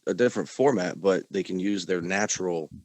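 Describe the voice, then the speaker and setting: A man's voice: low voice, a little fast, darkish tone, no echo. A man hosting a podcast, chatting casually and cursing now and then. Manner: casual, swears lightly. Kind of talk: casual chat.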